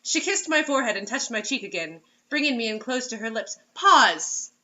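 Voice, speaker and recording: A woman's voice: a little high, very animated, normal speed, bright, forceful tone, highs cut off. A woman reading a story aloud as host, in a mocking dramatic way, breaking off for sarcastic casual asides.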